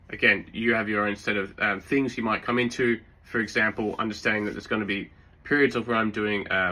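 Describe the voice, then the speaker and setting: Low voiced guy w a sore throat, bright forceful tone, chatty fitness tips w lots of ums. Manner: relaxed, rambling, many fillers and restarts. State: sore throat, occasional coughs. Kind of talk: casual advice talk.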